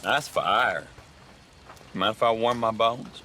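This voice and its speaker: An actor playing a mocking, territorial backwoods gang member with a Southern American accent in a video game. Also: male voice, fairly low pitch, pushed and bright, a little hiss or hum. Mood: mocking menace. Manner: slow, taunting. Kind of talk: video game cutscene acting.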